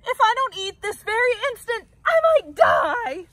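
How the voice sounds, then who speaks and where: Woman voicing a high, whiny, dramatic storybook bird. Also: huge pitch swings, a little slow, fairly bright tone, dry recording, exaggerated voice. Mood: demanding, desperate. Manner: exaggerated, theatrical complaining.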